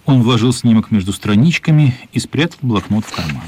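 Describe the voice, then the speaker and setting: An actor as the narrator of a children's radio play, reading scripted storybook prose. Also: male voice, lowish voice, lively intonation, brisk, darkish tone, slight background noise, natural voice. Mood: measured.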